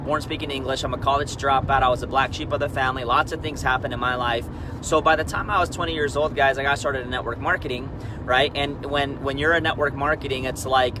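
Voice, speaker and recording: Man, 30s, Peru-born, candid rambling live-stream host, clear traffic noise under the voice. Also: medium pitch. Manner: candid, rambling, frequent restarts and "right?" asides. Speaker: network marketing leader. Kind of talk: Facebook Live motivational talk.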